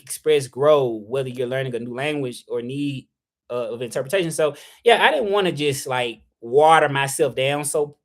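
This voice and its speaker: A young adult man from Memphis with a Southern accent, talking loosely in a casual livestream about his work as an interpreter, full of "like" and "you know". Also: medium pitch. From Memphis, Tennessee, US South. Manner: loose and rambling, with many fillers, "like" and "you know". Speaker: freelance interpreter hosting a livestream.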